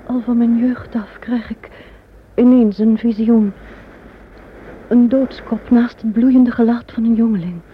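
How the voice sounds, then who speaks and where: A woman's voice: a little high, steady tone, measured pace, close to an everyday voice. A woman playing a proud queen gripped by fearful visions, speaking in an old radio drama. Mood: troubled. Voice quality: hushed, whispering, frightened. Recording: tinny old radio recording, faint hiss.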